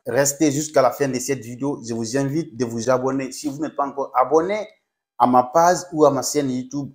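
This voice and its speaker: A man's voice: medium pitch, a little mellow. A young man, not a native French speaker, speaking as a content creator in a casual tutorial vlog with an encouraging pep-talk tone. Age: young adult. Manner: pep-talk tone, addresses viewers as "les gars".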